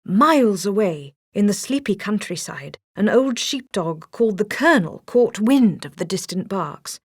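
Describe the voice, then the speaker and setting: A woman's voice: medium pitch, lively intonation, normal speed, dry recording, studio quality. A woman reading a scripted children's story as a storybook narrator.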